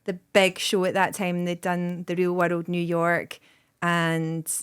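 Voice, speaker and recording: A woman's voice: lowish voice, little pitch movement, takes it slowly, clear, resonant tone. Scottish woman, 30s, rambly podcast guest, says like a lot. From Scotland. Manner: rambling, lots of "like" and "um", bursts of laughter. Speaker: guest comedian. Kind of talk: casual podcast chat.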